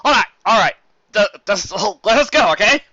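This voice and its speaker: A reader voicing a jittery male unicorn swindler in a fan storybook reading, loud and flustered. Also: mid-range voice, lively intonation, normal speed, no echo, lo-fi sound, voice pushed up. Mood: nervous. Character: nervous male unicorn con artist, jittery.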